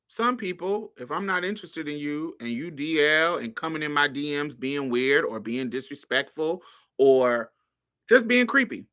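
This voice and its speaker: A woman's voice: low voice, fairly bright tone. A woman hosting a podcast, giving a casual monologue in a sassy, blunt way. She swears freely and laughs often. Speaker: podcast host.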